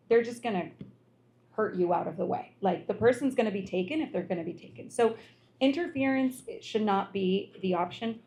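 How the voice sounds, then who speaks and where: A woman speaking on a community panel, explaining in an earnest, measured way. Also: mid-range voice, a little mellow. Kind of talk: panel discussion on local access TV.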